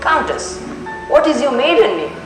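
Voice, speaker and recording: Young student playing a composed detective, high voice, formal deliberate delivery, very echoey stage, music behind. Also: very animated. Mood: deliberate, probing. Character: composed, methodical detective questioning suspects. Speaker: student actor in a school play. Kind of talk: stage play acting. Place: school stage, indoors.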